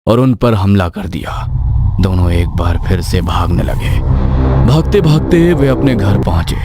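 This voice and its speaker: A man's voice: sits low, dry recording, studio quality. A man narrating a scripted horror story in a dramatic, suspenseful manner. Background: loud music bed.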